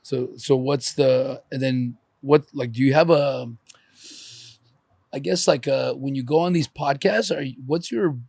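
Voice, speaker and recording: A man's voice: medium pitch, unhurried, creaky, darkish tone, husky, no echo, studio quality. A man hosting a podcast, asking rambling questions and swearing casually. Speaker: podcast host. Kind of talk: casual interview chat.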